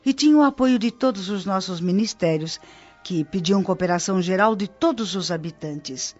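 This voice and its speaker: Actress as observant old nurse, Brazilian radio drama. Explanatory delivery, Brazilian accent, faint music, slightly muffled recording. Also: female voice, medium pitch, expressive, a little mellow, lightly acted. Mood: patient, explaining. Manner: explanatory, unhurried, instructive. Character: observant, patient old nurse, female spirit guide.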